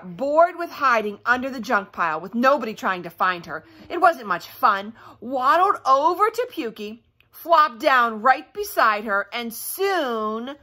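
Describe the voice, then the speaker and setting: A woman's voice: a little high, big pitch swings, unhurried, pushed and bright. A woman reading a children's story aloud with expression, breaking off for chatty asides and questions to her young listeners. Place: indoors at home by a fireplace.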